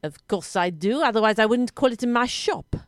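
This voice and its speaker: A woman playing a haughty, menacing Belgian sweet-shop keeper in improvised comedy, with a French-speaking Belgian accent and indignant, deadpan delivery that rises at the ends of sentences. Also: a little high, moderate pace, no echo, clean studio sound, natural voice. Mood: coolly menacing.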